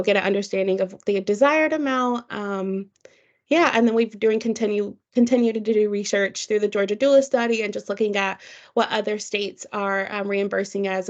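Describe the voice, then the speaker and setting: A woman presenting evaluation findings on a webinar, talking casually with lots of fillers. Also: medium pitch. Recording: dull lo-fi call audio.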